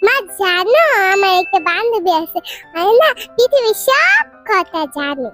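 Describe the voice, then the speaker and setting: A naive little daughter in a cartoon, with an extremely high voice. She chatters earnestly and sounds sure she knows everything, over loud children's music. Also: sing-song, measured pace, full character voice. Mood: curious, earnest. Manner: earnest, know-it-all. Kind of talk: cartoon voice acting.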